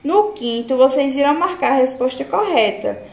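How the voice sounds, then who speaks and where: A woman's voice: sits high, lively intonation, normal speed, a little mellow. A young adult woman with a Brazilian accent, a primary school teacher giving a scripted lesson to children in a classroom. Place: small tiled classroom, indoors. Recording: echoey room with background noise.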